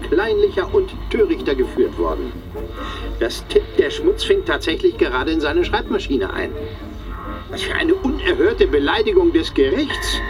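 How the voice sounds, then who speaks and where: A pompous 1920s radio announcer with a highish, theatrically swooping voice. He declaims with sardonic formality, sounding distant, with music clearly playing behind him. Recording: distant old broadcast sound over loud music.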